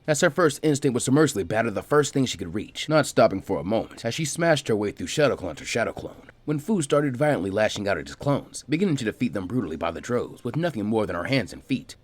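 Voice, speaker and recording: A man reading scripted story narration as a fan fiction narrator. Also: on the low side.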